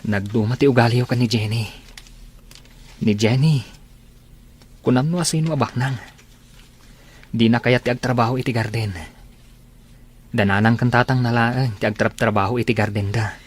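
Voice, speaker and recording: A man's voice: mid-range voice, moderate pace, pauses often, slightly rough, close to an everyday voice. A man acting a lovestruck, teasing young village man in an audio drama, with an Ilocano accent. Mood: wistful. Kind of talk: amateur Ilocano radio-style comedy drama acting.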